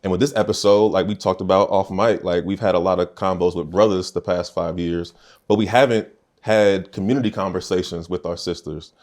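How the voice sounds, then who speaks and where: A man hosting a podcast, talking in a relaxed, conversational way with slang. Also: low-pitched, brisk. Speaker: podcast host.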